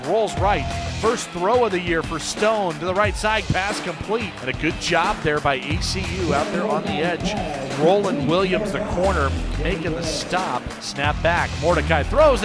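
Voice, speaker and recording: An American man calling football play-by-play on the radio in short, crisp announcer phrases. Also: high voice.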